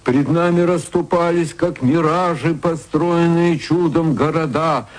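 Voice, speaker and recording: A man reads poetry in a solemn, chanting cadence. His voice sits fairly high, and he takes his time over every line. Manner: measured, solemn, chanting verse. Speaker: poet reading his verse. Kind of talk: archival poetry recitation.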